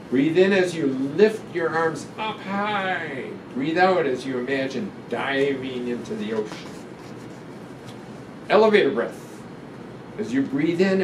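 An elderly man reads a picture book to children with gentle, deliberate instruction. His voice sits slightly high for a man. He is picked up from a distance in a roomy space, with a clear ventilation hum behind him. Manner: gentle, deliberate, instructive, pausing between phrases. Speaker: guest doctor reading a picture book aloud. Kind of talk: children's storytime read-aloud with guided breathing instructions. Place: indoor room in front of a sequined curtain.

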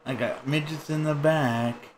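A man chatting on a game stream, his voice sitting slightly high. He rambles and jokes crudely with a friend as he plays. A faint hiss lies under the recording. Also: fairly even intonation, a little slow. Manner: joking, crude, rambling banter. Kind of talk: casual gaming chat.

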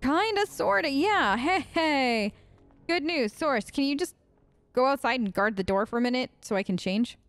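High-voiced chatty woman role-playing a game. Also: lively intonation, moderate pace, dry recording, faint music behind. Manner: chatty, sarcastic. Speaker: gaming video host. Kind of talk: in-character role-play game commentary.

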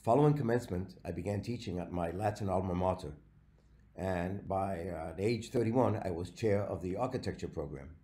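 A man, giving a recorded slide lecture in a measured way with frequent fillers. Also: sits low, mellow. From Venezuela.

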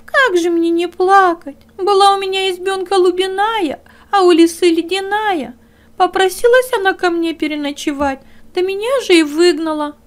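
Woman voicing a tearful little hare, very high-pitched. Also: fairly even intonation. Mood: plaintive, tearful. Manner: plaintive, whimpering, slow. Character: small, tearful, pitiful young male hare.

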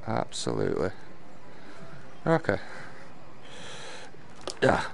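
Low-voiced, slightly creaky man streaming games. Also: wildly swooping pitch, slow pace, slight background noise. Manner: muttering to himself and exclaiming, slangy, sweary. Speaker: game streamer. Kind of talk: casual live gameplay commentary.

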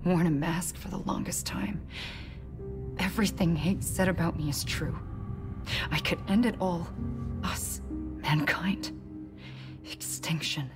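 An actress playing a solemn, secretive woman in a game cutscene, grave and hushed, often slipping into whispers. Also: lowish voice, fairly even intonation, a little slow, pauses often, over music, close to an everyday voice. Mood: solemn, confessional. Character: solemn, secretive woman confessing her doomsday power. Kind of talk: dramatic game cutscene acting.

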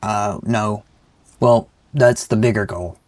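A man's voice: lowish voice, slow pace, a little mellow, no room sound. A young man with a sick-sounding voice giving rambling, joking let's-play commentary. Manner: rambling, joking, self-deprecating. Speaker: gaming channel host.

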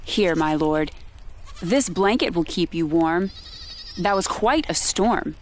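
A woman playing a composed, quietly cunning wife in an amateur audio drama, soothing and deliberate. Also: fairly low pitch, expressive, slight background noise.

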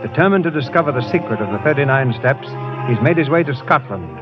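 A male narrator giving a measured scripted introduction to a 1930s radio play. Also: medium pitch, animated. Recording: dull radio broadcast with music.

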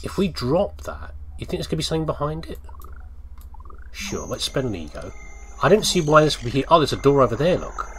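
A man's voice: on the high side, very animated, brisk, fairly bright tone, slight background noise. A man giving casual gameplay commentary, rambling and thinking aloud as he talks to himself. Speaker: gamer commentating on playthrough.

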